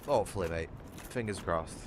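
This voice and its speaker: Manchester bloke streaming, low voice, blunt and swears a lot. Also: male voice, a little fast, noisy background. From England. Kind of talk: casual livestream gaming chat.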